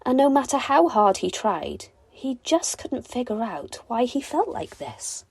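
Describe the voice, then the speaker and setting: A woman narrating a children's bedtime story in an expressive, deliberate storytelling manner. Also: a little high, vocal fry, husky, no echo. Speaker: storybook reader.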